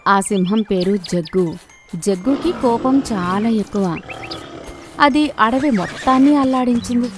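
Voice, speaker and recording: A woman's voice: on the high side, measured pace, soft background music. A woman narrating a scripted children's story.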